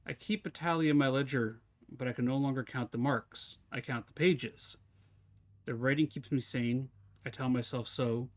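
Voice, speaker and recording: A man voicing a weary prisoner of endless rooms, speaking in a lowered, solemn, unhurried voice. The tone is grim and resigned, in the manner of a game's journal narration. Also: fairly even intonation, natural voice. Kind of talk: dramatic reading of game text.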